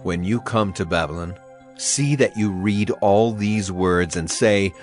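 A man's voice: fairly low pitch, measured pace, faint music behind, close to an everyday voice. A man playing a solemn prophet proclaiming divine wrath in a declamatory, dramatized scripture reading. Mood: grave instruction.

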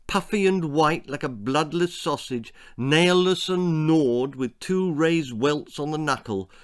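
A man's voice: mid-range voice, a bit bright. A man in his sixties reading a grisly comic children's story theatrically, with emphatic, relishing articulation. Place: small indoor room lined with books and records.

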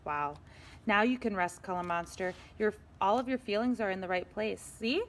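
A woman teacher reading a picture book aloud to young children in an expressive way, often turning to them with asides and questions. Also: mid-range voice. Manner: expressive, slow and deliberate, addressing young children.

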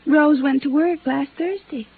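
A woman's voice: sits high, a little slow, gravelly. A woman playing a flippant, guarded young American dancer in a 1950s radio drama, with a breezy, slangy and evasive way of talking. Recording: muffled, faintly noisy vintage radio recording.

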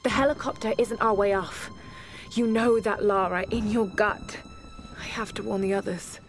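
Actress as young survivor heroine, game journal. Lowish, fry-edged, breathy, intimate and resolute, over prominent music. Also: female voice, fairly even intonation, normal speed, lightly acted. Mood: urgent, disbelieving. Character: shaken but determined young woman adventurer keeping a survival journal. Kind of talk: dramatic journal narration. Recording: music behind the voice.

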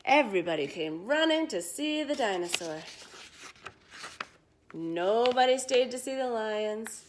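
A middle-aged woman reading a children's picture book aloud with expressive, emphatic delivery at home. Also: on the high side, a little hiss or hum. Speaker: storyteller for children. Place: living room at home.

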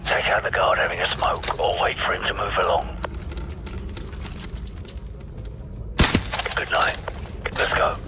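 Man acting a low-voiced British commando leader. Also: level tone, brisk, very noisy, lightly acted. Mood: hushed command. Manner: terse, commanding, controlled. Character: tough, watchful British special forces commander. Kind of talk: tactical game dialogue.

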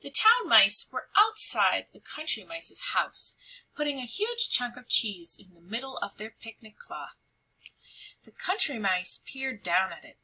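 A woman reading a children's storybook aloud in an expressive, animated and carefully phrased narration. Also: a little high, measured pace, husky, low-quality recording. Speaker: storybook reader.

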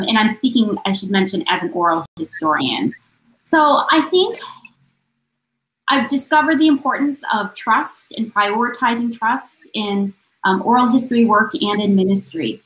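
A woman's voice: fairly high pitch, a bit bright. A woman in her forties, an oral historian speaking on a video call panel, earnest and reflective as she lays out her points one by one. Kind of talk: conference panel remarks. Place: room lined with bookshelves. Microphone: video call webcam. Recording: roomy echo.

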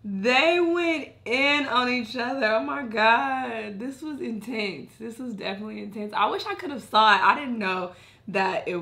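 A young woman hosting a reaction video, hoarse from losing her naturally deep voice, chatting casually and apologetically in a bright indoor room. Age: young adult.

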